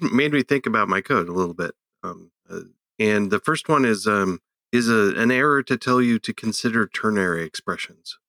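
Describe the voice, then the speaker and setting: A man co-hosting a tech podcast, speaking casually in a hesitant, halting way. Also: fairly low pitch, pushed and bright, no echo. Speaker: podcast co-host.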